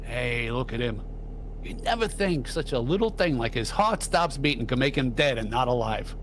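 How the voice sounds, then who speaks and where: A man playing a jumpy, squeamish working-class morgue worker in an old-time radio drama, halting and repeating himself. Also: mid-range voice, animated, fairly bright tone, a little hiss or hum, lightly acted. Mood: casual. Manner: halting, repetitive, colloquial.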